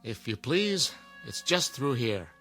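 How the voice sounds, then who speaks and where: A dry, earnest jester, leader of an outlaw club in a radio drama. He speaks courteously in measured speeches, over faint music. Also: mid-range voice, sing-song. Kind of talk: audio drama acting.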